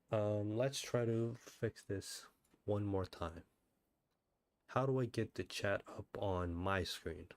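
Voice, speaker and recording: A man chatting casually as he livestreams a game, thinking out loud and often asking himself questions. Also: sits low, no room sound. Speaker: livestreamer, gamer. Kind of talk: casual livestream chat while playing a game.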